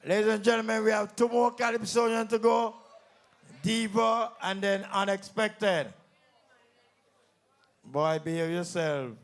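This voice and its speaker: A man's voice: high voice, unhurried, long pauses. A man with a Kittitian accent hosting a live stage show as emcee, teasing audience members directly with playful banter. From St Kitts. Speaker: calypso tent emcee and comedian. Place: live calypso tent stage before an audience.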